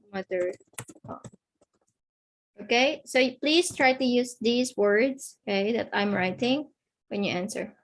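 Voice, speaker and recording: A woman's voice: mid-range voice, fairly bright tone, low-quality recording. A woman teaching an online lesson, speaking patiently and checking in with "okay?" after each point. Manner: slow, patient, repeats key words with "okay?".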